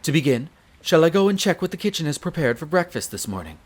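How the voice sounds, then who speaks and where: A man's voice: fairly high pitch, big pitch swings, fast. A man playing a dutiful wolf butler in an audio roleplay, very formal and deferential, a little nervous. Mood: courteous, attentive. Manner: very formal, deferential, hesitant when flustered. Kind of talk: scripted audio roleplay acting.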